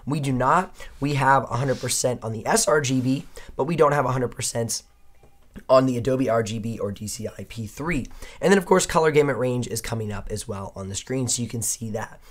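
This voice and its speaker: A man in his thirties with a hoarse, worn voice, giving an upbeat, chatty laptop comparison review at a studio desk. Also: medium pitch, fairly quick. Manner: upbeat, chatty, punctuates points with "okay?". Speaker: tech reviewer. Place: indoor studio desk, dim room.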